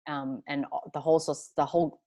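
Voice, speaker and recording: Low-voiced earnest woman panelist. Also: flat delivery, fairly quick, mellow, dry recording. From Hong Kong. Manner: earnest, thoughtful, many fillers and restarts. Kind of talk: panel discussion answer.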